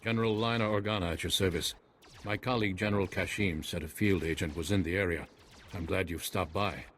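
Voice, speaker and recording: An actor playing an earnest noble army general in a video game, speaking in a formal, measured way. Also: male voice, low voice, fairly even intonation, a bit bright. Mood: earnest, grateful. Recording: faint battle noise, gunfire behind.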